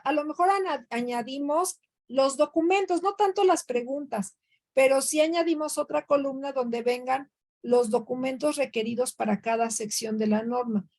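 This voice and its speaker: High-voiced Mexican woman instructor. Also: darkish tone. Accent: Mexican Spanish. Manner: conversational, frequent fillers and self-corrections. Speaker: workshop instructor. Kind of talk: online training session.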